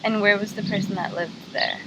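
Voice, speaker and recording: A woman's voice: medium pitch, fairly even intonation, fairly quick, noisy background. A young American woman giving a class presentation and interviewing a guest, reading prepared figures with small stumbles. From United States. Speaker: student interviewer.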